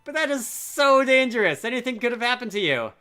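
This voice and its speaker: A man's voice: very high voice, sing-song, fairly quick, pushed and bright, clear, resonant tone, pitched above the performer's own voice, exaggerated voice. A man voicing an earnest, cautious young princess from a game with proper phrasing. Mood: worried. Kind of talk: reading game dialogue aloud. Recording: game music behind.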